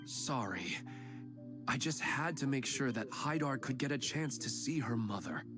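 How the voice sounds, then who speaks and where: An actor playing a humble, sincere middle-aged father who talks in a gentle, plain-spoken way, over game music. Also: mid-range voice, lively intonation, a little slow, close to an everyday voice. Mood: earnest. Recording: game audio with music behind.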